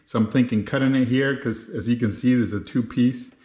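A man in his thirties talks casually to his viewers as he works, explaining each step informally. His voice has an ordinary mid-low male pitch and rings with the roomy echo of a home garage, picked up on a handheld camera. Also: steady tone, brisk, dark tone. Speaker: motorcycle builder and video host. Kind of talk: casual how-to vlog narration while working. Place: home garage with hard floor. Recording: roomy, echoing garage sound.

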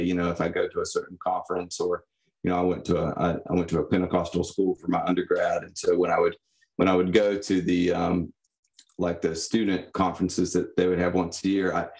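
A young man giving reflective, personal answers as a podcast guest, in a halting, thoughtful manner. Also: deep voice, a little mellow. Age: young adult. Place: indoor room, on a video call. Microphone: condenser microphone.

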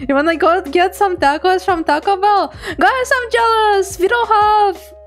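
Young woman VTuber, high-pitched, giggly and playful, casual chat with viewers at a home desk mic. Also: a little slow, soft background music. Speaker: VTuber streamer. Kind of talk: casual chat reading chat messages.

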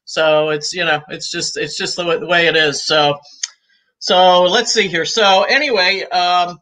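A woman in her sixties, talking as a guest on a video call from home, chatty and easygoing and quick to laugh. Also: on the low side, steady tone, a bit bright, low-quality recording. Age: 60s. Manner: talkative and easygoing, laughs often. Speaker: guest, cigar shop owner. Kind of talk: casual interview chat. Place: room at home.

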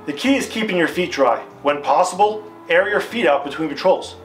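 Man playing a higher-pitched American army captain instructor. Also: animated, fairly quick, natural voice. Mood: instructive, assured. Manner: formal, didactic, stilted. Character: upbeat, authoritative male army captain instructing troopers. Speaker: instructor in a military training film. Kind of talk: scripted retro instructional film delivery. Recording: music under the voice. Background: background guitar music.

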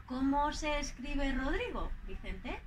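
An older woman from Madrid with a Madrid Spanish accent, acting out a slow, clearly spoken teaching dialogue outdoors on a clip-on mic. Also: sits high, sing-song, slight background noise. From Spain. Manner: playful, deliberate spelling out of words. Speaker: language teacher in a scripted dialogue. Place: outdoors in front of a green wall.

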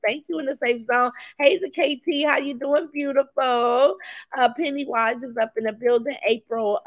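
A raspy-voiced woman hosts a livestream, reading chat names aloud and cursing freely with blunt, brash energy. Her voice sits a touch high. The sound is close and dry, with no room around it. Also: dry recording. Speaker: livestream host.

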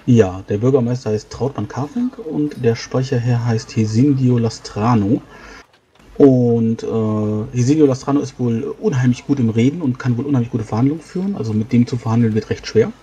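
Bright-voiced man explaining in character. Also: mid-range voice, normal speed, slightly rough. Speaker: tabletop role-playing player. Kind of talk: in-character improvised game dialogue.

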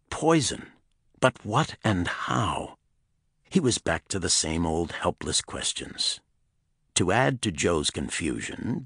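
A man narrating a pulp thriller audiobook in a dramatic, husky storytelling voice. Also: deep voice, no room sound, studio quality.

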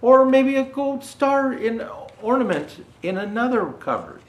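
An older man reading a children's picture book aloud, pausing to explain things gently to young viewers. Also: extremely high pitch, sing-song. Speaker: storyteller dressed as Santa Claus. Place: indoor room with Christmas decor. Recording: roomy indoor echo.

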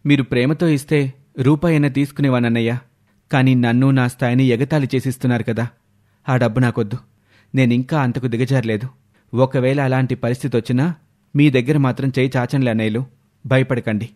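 A man's voice: mid-range voice, steady tone, fairly quick, darkish tone, lightly acted. Male actor as dutiful youngest brother, proud, earnest, firm, mid-range, cartoon drama. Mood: hurt, firm.

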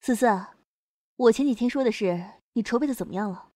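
A young woman playing a composed high school student leader in an amateur audio drama. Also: a little fast, mellow, close to an everyday voice. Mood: composed, curious.

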